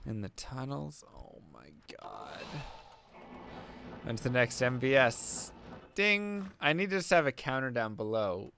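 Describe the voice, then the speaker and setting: A man's voice: medium pitch, very animated, unhurried, vocal fry, background noise. A young man streaming a video game, casually chatting and answering viewers' questions aloud, saying he is losing his voice. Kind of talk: casual chat while playing, answering viewer chat.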